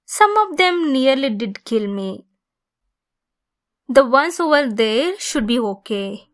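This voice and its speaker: A woman performing a scripted ASMR roleplay as a possessive female centaur, speaking in an intimate, gently teasing manner. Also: sits high, big pitch swings, slow. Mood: calm, instructive.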